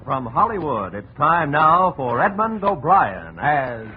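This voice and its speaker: Animated, high-pitched vintage radio announcer, man. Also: takes it slowly, a little hiss or hum. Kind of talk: 1950 radio announcing, scripted. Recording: tinny old radio recording.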